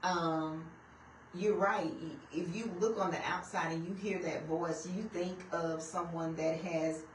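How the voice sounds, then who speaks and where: A woman's voice: lowish voice, fairly even intonation, measured pace, echoey room, distant mic, background noise. A woman co-hosting a radio talk show, speaking casually with a sassy, emphatic manner.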